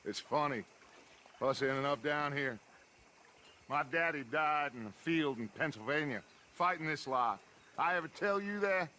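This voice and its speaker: A man's voice: a little high, normal speed, pushed and bright, a little hiss or hum. An American man playing a charismatic, grandiose outlaw gang leader in a western game, speechifying and persuasive. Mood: earnest, persuasive. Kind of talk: cinematic western game acting.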